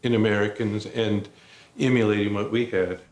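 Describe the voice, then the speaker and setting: Older man, roomy, low voice. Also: steady tone, moderate pace, a little mellow, a little hiss or hum. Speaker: interviewee. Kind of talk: documentary interview.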